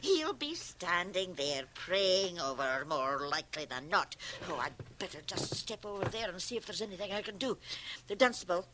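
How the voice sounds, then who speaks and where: An actress playing a capable, strict, brisk small-town mother in a radio drama. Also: lowish voice, big pitch swings. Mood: concerned, then stern. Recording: slightly noisy old cassette radio drama.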